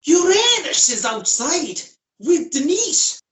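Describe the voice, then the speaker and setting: A woman's voice: high voice, very animated, slow pace, fairly bright tone, full character voice. A woman playing a hapless, flustered man in a radio play, hesitant and stammering. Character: flustered, hapless man caught with his lover.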